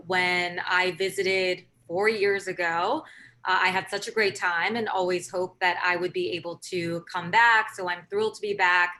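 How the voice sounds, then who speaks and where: Bright-toned woman, conversational guest. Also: lowish voice. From born in Korea, raised in Hong Kong. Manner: warm, conversational, with fillers. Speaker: political correspondent, guest. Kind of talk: panel discussion answers.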